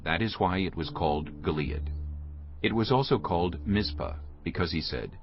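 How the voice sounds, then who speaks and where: A man's voice: sits low, normal speed, vocal fry, a little hiss or hum. A man narrating a dramatized scripture reading from a script. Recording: dull lo-fi audio with music behind.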